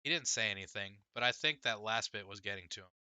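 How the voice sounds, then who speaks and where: Very bright-voiced man reading an audiobook. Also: fairly low pitch, fast, clean tone, no room sound. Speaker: audiobook reader. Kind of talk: scripted first-person story narration.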